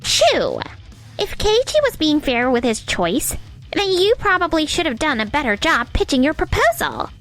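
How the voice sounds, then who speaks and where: A woman's voice: high voice, very animated, moderate pace, a bit bright, heavily acted. A woman playing a young businesswoman in a romcom manga dub, emotional and theatrical. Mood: scornful. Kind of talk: scripted manga dub acting.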